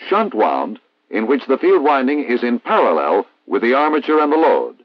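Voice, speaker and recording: An American man reading a scripted instructional narration in a formal, measured, didactic way, as in a 1960s military training film. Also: medium pitch, big pitch swings, dry recording. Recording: dull vintage 1960s film soundtrack.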